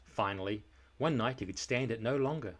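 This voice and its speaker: A male storybook reader with a mid-pitched voice. He narrates for children in an expressive, deliberate and dramatic way.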